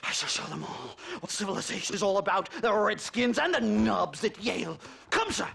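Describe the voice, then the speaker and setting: Pompous, agitated academic for a game cutscene; very high, creaky voice, stammering, self-righteous. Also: male voice, very animated, moderate pace, bright, forceful tone, exaggerated voice. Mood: agitated, excitable. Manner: pompous, stammering, theatrical old-fashioned diction. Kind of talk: video game cutscene acting.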